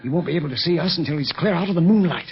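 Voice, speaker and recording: A steady, commanding secret agent played by a man in a 1930s radio adventure. His voice sits fairly high for a man, with a creaky edge, and he explains and orders protectively. The old recording sounds muffled. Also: racing, a little hiss or hum, lightly acted. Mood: tense, commanding. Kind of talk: 1930s radio serial acting.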